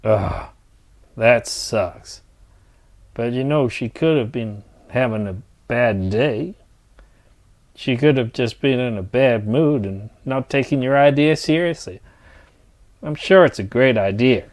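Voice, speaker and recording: A man acts out a weary, middle-aged working man in an audio drama. He speaks slowly at an average male pitch, plain and grumbling, stuck in his ways. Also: a little mellow. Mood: tentative, placating.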